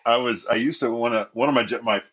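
A man telling stories in a relaxed, rambling way, full of fillers and short laughs. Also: mid-range voice, quick pace, breathy edge, lo-fi sound. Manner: laid-back, lots of fillers and laughs, says "man" and "dude". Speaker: podcast guest, game designer. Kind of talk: casual chat.

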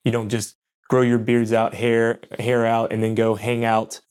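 A man's voice: medium pitch, completely flat delivery, measured pace, darkish tone, smooth. A man hosting a casual interview, asking long, knowledgeable questions about military life. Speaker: podcast host.

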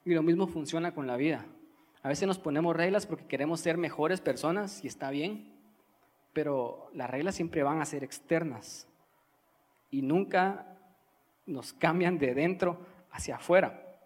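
Male preacher, Guatemalan accent, slightly higher-pitched voice, emphatic sermon delivery with repeated key phrases. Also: measured pace, long pauses. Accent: Guatemalan Spanish.

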